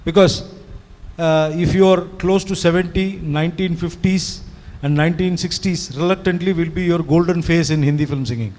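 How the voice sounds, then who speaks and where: A man's voice: a little high, normal speed, a little mellow. A man of sixty whose first language is Tamil, chatting to an audience at a live event, rambling fluently with many "you know"s. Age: 60s. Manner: rambling, fluent, mixes Hindi and English, frequent "you know". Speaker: event organizer and speaker. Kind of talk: conversational talk to an audience. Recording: long hall reverb.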